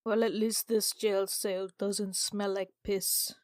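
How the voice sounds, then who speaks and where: Woman rpg player, slow easy pace, casual banter, dry close recording. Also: medium pitch, flat delivery, a little mellow, no echo. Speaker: tabletop game player.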